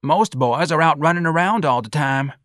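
A man voicing an excitable, opinionated old man who keeps interjecting. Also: a little high, moderate pace, no echo, studio quality, pitched above the performer's own voice, close to an everyday voice. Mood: approving. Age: older.

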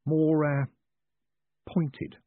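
A man voicing a smooth con man in an audiobook, feigning innocent enthusiasm in a hesitant, ingratiating way. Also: fairly high pitch, monotone, drawn-out words, no room sound, pitched above the performer's own voice. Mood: coy, ingratiating. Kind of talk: audiobook character acting. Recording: muffled narrow phone-like sound.

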